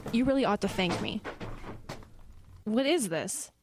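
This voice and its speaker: A woman's voice: medium pitch, lively intonation, measured pace, noisy background. A woman playing a sneering, self-important popular teenage girl in an audio horror drama, sarcastic and dismissive. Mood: scornful.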